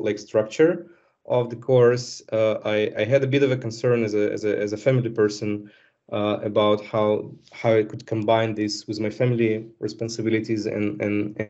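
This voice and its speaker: A man's voice: lowish voice, dark tone. A man in his thirties from Belarus, giving a fluent, businesslike account of his studies as a student speaker. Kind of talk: personal testimonial. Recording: dull lo-fi sound.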